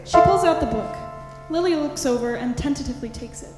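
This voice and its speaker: A woman reading stage directions as scripted narration, in a measured, expository way. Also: high voice, animated, loud music behind the voice. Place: high school stage. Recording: echoey hall, long reverb.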